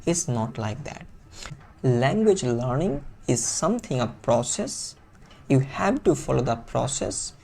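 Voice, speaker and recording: A man's voice: medium pitch, big pitch swings, unhurried, vocal fry, a little mellow, slight background noise. A man with a Bengali accent, a native Bengali speaker, coaching viewers on English fluency in an earnest teaching monologue that repeats key phrases for emphasis.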